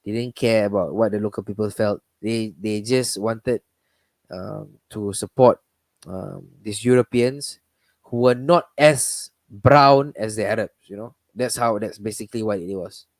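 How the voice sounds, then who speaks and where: A man's voice: fairly low pitch, unhurried, no room sound. A man hosting a casual live discussion, talking in a rambling way with many restarts and fillers. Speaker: host.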